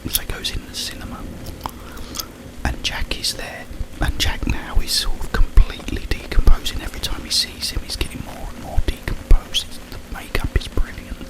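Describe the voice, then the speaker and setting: A man speaking in a breathy, unvoiced whisper, rambling informally and soothingly in an ASMR style. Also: normal speed, low-quality recording. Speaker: ASMR video creator and host. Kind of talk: whispered ASMR ramble retelling a film plot.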